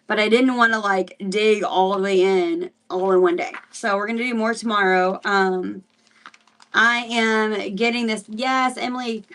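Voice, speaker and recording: A woman's voice: mid-range voice, fairly bright tone, distant mic. A woman hosting a casual livestream Bible study, chatty and rambling, greeting viewers by name as she teaches. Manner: chatty and rambling, with frequent fillers and restarts.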